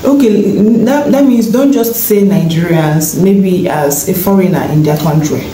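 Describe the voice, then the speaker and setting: A woman's voice: lowish voice, a little slow, warm tone. A woman asking questions in a casual chat, reacting with short sounds of surprise. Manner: questioning, lots of "mm" and "wow". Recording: echoey clip with faint noise.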